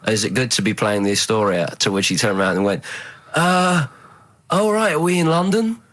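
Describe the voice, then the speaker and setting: A man's voice: lowish voice, normal speed, fairly bright tone, no room sound. A man in casual radio chat as a studio guest, telling anecdotes in a hesitant, rambling way. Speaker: studio guest, rock music fan.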